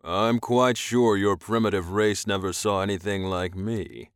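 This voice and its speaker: A smug alien master from an audiobook, acted out by a male narrator. He is commanding and possessive, with a growl in his delivery and a deliberate, amused manner. The sound is very dry. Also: fairly low pitch, steady tone, smooth, no room sound. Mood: smug amusement. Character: smug, domineering alien male slave buyer.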